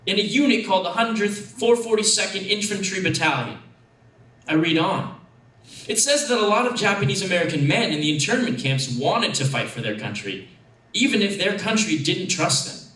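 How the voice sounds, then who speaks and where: A man giving a dramatic first-person short story narration with expressive, deliberate phrasing. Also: high voice. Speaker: actor reading a story. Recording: echoey room, distant mic.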